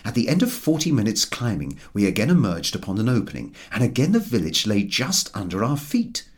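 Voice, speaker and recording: Guy narrating a funny old novel, super varied pitch, bit of a breathy rasp. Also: wildly swooping pitch, brisk. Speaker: audiobook reader. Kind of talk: expressive scripted narration of a comic novel.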